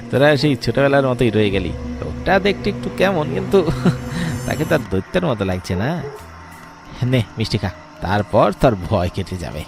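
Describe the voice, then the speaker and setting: A man voicing a bold, animated young man among friends in a cartoon ghost story. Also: mid-range voice, moderate pace, vocal fry, music in the background, pitched above the performer's own voice. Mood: teasing.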